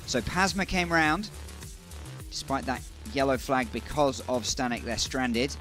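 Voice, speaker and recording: A man calls a motor race with brisk, informative commentary. His voice sits at an ordinary pitch, and he leans harder into the decisive moments. A faint music bed plays beneath him. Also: animated, fairly bright tone. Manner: brisk, informative, building emphasis on key moments.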